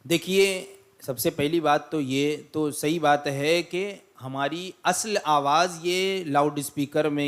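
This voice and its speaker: A man speaking as an Islamic cleric, answering a religious question in a didactic, explanatory way with emphatic repetition. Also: on the high side. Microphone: microphone through a loudspeaker system.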